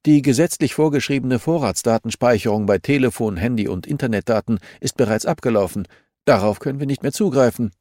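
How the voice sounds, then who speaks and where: A man's voice: medium pitch, fairly quick, warm tone, no room sound, clean studio sound, pitched above the performer's own voice, close to an everyday voice. A man voicing a stern older male judge in an audiobook, brusque, formal, skeptical and unyielding. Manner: formal, curt, officious.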